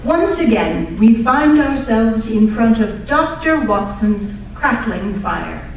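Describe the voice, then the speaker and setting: A woman's voice: fairly high pitch, big pitch swings, slow. Woman announcer in a very echoey hall. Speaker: announcer of a live radio play re-creation. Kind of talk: scripted old-time radio announcing. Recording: very echoey live hall, distant mic, faint noise.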